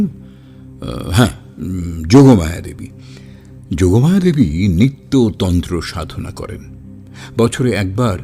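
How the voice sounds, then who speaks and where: A man playing an earnest storyteller in an audio drama, narrating in the first person with literary phrasing and dramatic pauses. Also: deep voice, dark tone, heavily acted. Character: earnest storytelling man recalling a fateful gathering.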